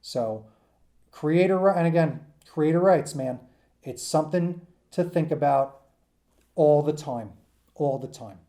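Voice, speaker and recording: A man's voice: fairly high pitch, measured pace, pauses often, mellow. A man giving an informal lecture to students in an enthusiastic, emphatic, rambling conversational way, as their teacher.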